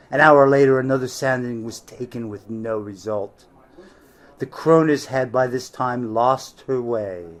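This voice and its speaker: A man reading a novel aloud as its narrator, in scripted storytelling style. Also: mid-range voice.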